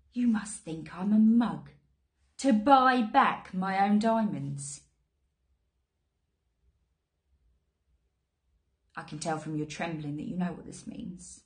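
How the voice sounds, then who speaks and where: Casting: actress as British female gang boss, thirties, lowish voice, controlled and quietly threatening, for screen drama monologue. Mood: angry, threatening. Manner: deliberate, threatening, with quiet menace. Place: small plain indoor room.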